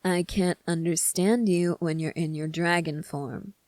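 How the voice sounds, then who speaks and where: A woman voicing a sly, teasing young prince in a scripted ASMR roleplay, speaking deliberately and smugly with calm command. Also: fairly low pitch, no room sound, studio quality, natural voice. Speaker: voice actor.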